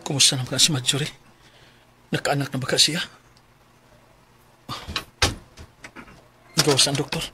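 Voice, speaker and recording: A man's voice: medium pitch, steady tone, quick pace, background noise, close to an everyday voice. A man with a Cebuano Filipino accent playing an earnest separated father in his late thirties in a radio drama. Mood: anxious, excited. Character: earnest 37-year-old separated father courting a younger woman.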